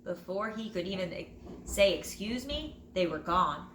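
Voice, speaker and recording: A woman teacher reading a children's storybook aloud in an expressive, dramatic way with drawn-out phrases. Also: on the low side. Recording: roomy echo, light background noise.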